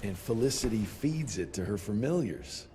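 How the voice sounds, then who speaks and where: An actor playing a gruff, sardonic American monster hunter in a Wild West video game, blunt and dry. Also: male voice, fairly low pitch, lively intonation, moderate pace, a little hiss or hum, close to an everyday voice. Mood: stern. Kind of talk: video game cutscene acting. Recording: long reverb, loud music underneath.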